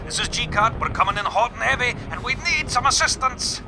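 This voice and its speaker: Smuggler pilot male actor: brash, sardonic, folksy, high animated voice over a loud score. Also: brisk, over music. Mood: urgent, wry. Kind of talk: dramatic audio drama acting.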